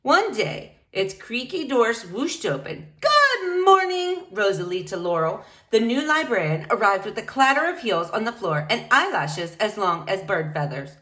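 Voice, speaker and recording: A woman reading a children's book aloud, narrating in an expressive storytelling manner. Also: mid-range voice, moderate pace, hardly any pauses. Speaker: storybook reader.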